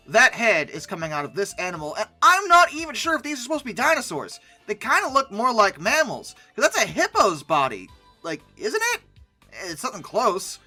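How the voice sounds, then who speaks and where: Animated, bright-voiced commentator, theatrically exasperated. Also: medium pitch. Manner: theatrical, exasperated, sardonic, with repetition for emphasis. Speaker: host of a paleoart commentary video. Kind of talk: humorous reaction commentary, part ranting. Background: background music.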